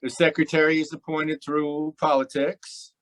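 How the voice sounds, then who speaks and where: A man's voice: on the high side, little pitch movement, moderate pace, a bit bright, lightly acted. A man playing a cocky, stubborn racketeer boss in an old time radio crime drama, with brash, slangy tough-guy talk. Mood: smug.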